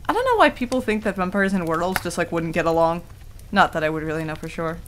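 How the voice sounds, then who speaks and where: A woman's voice: fairly low pitch, animated, fast talker, close to an everyday voice. A woman playing a prickly, easily flustered tsundere werewolf in a casual ASMR roleplay, with a defensive, stammering manner. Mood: skeptical, bantering. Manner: casual, defensive, stammering when embarrassed, swears. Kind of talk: intimate ASMR roleplay acting. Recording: clearly audible background noise.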